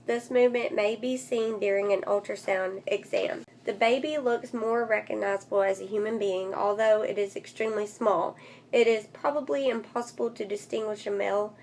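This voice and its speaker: A woman vlogger with a congested, nasal voice, recovering from losing it, speaking in a casual update style and recorded on a phone. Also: medium pitch. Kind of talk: casual update vlog, reading aloud from a book. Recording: clear background noise, phone recording.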